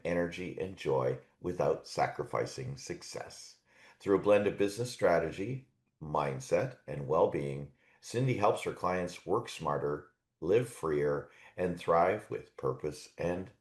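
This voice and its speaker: Low-voiced male podcast host, cheerful interviewer, roomy sound. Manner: upbeat, laughs often. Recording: roomy echo.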